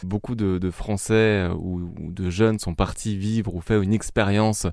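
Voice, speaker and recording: A man interviewing his guest in a measured, thoughtful way, often pausing to search for words. His voice sits fairly low, and the recording is dry and close. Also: steady tone, gravelly, dark tone, dry recording. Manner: thoughtful, measured, with frequent hesitations. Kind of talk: conversational interview.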